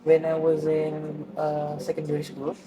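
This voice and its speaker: A man's voice: fairly high pitch, completely flat delivery, measured pace, a crowd in the background, rough recording. A young man from Malaysia whose first language is Tamil, answering interview questions about the languages he speaks with many fillers and restarts. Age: young adult. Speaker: interviewee, student.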